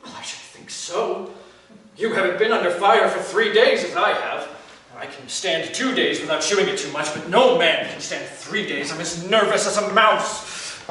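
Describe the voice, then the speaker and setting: An actor playing a weary, frank and courteous Swiss mercenary soldier in a live stage comedy, with a theatrical, matter-of-fact manner. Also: male voice, sits high, normal speed, sharp tone. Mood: nervy, confessional. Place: indoor stage in an arts center, recorded from afar. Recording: distant, very echoey theater recording, faint noise.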